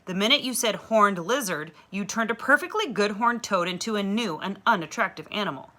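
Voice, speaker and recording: A woman reading a children's novel aloud with clear, expressive storytelling. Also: mid-range voice, brisk.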